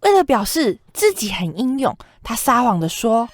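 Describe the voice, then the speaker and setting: A young woman narrating a scripted children's story in an expressive, dramatic way. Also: on the high side, normal speed, slight background noise. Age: young adult.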